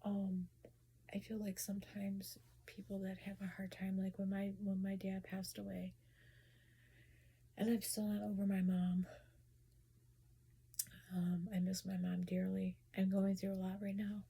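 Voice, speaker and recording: A woman talking casually about spirits and grief in a soft whisper, hesitant and full of restarts. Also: mid-range voice, completely flat delivery, measured pace, vocal fry, long pauses, slightly rough. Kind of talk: casual personal talk.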